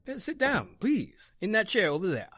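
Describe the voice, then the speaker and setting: Man playing a musing old occult scholar, swooping pitch. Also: wildly swooping pitch, fairly bright tone, a little hiss or hum, heavily acted. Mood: brisk, courteous. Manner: measured, musing, hesitant muttering. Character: elderly scholarly male doctor of the occult. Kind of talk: old-time radio horror acting.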